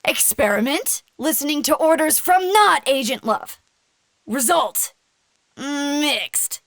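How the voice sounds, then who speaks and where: An actress playing an eager, affectionate young agent in an audio drama, with a bubbly, informal, excitable manner. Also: female voice, high-pitched, slow, no echo, clean studio sound, exaggerated voice. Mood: chirpy, proud. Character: eager, stubborn, affectionate young female agent training a creature.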